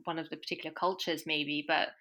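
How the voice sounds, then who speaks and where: A woman's voice: deep voice, little pitch movement, fast talker, pushed and bright. A woman guest in a casual interview, talking thoughtfully with many restarts. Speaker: language course provider, guest.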